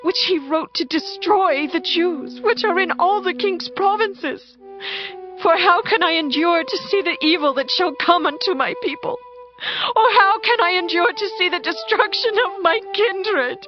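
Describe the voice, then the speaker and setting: A woman's voice: very high-pitched, measured pace. An actress playing a pleading, anguished young queen in a dramatized scripture audiobook, speaking in formal archaic phrases. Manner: imploring, formal archaic speech. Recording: muffled sound under music.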